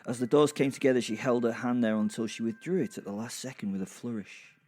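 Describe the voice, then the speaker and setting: A man's voice: mid-range voice, fast, darkish tone, lightly acted. A man playing an irreverent, foul-mouthed werewolf who narrates an audio drama with hesitant, rambling, wry asides. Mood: wry, lonely. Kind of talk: first-person horror comedy audio drama narration.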